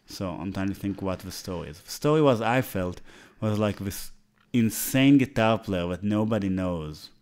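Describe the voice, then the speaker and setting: A man with an Israeli accent co-hosting a podcast, joking casually in halting, repeated phrases. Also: on the low side, lively intonation, darkish tone. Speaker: podcast co-host, musician. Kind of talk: casual chat.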